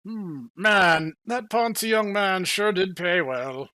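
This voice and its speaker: A man's voice: high-pitched, takes it slowly, bright, forceful tone, dry recording, pitched above the performer's own voice, heavily acted. A man voicing a portly, frank townsman in a bar. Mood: smug, frank.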